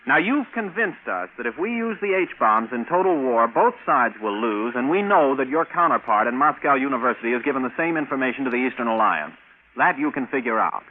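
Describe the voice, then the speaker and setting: A man's voice: mid-range voice, animated, fairly quick, natural voice. A wry, brash rocket pilot, played by a man in old radio drama style. His conversational delivery swoops with animation, heard through a narrow, phone-like broadcast with light hiss. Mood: wry, scolding. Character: brash, exasperated bachelor rocket pilot and scientist. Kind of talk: 1950s radio acting. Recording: tinny, noisy vintage radio broadcast.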